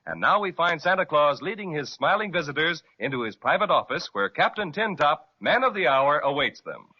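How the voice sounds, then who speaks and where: A man's voice: fairly high pitch, very animated, brisk, slightly rough. A man narrating as the announcer of a 1930s-style radio serial. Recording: dull old radio recording.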